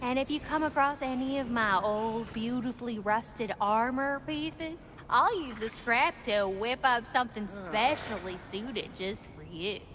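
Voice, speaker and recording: A woman's voice: on the high side, unhurried, few pauses, background noise, close to an everyday voice. A woman playing a chatty, sweet, eccentric tinkerer in a video game, with a Southern American accent. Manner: chatty, polite, colourful. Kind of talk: video game character acting.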